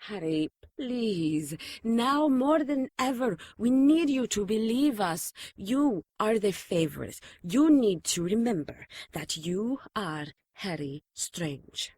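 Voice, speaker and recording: A woman's voice: mid-range voice, animated, slow, darkish tone, dry recording, studio quality. A woman playing a caring, earnest nun in an audio drama, soothing and insistent. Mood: pleading. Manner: soothing, earnest, exasperated at times.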